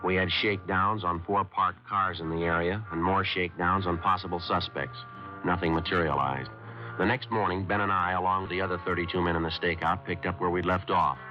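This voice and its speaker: An actor playing a terse police sergeant who narrates his cases in a clipped, flat deadpan, from a muffled 1950s radio drama. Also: sits low, brisk, soft background music, close to an everyday voice. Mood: matter-of-fact.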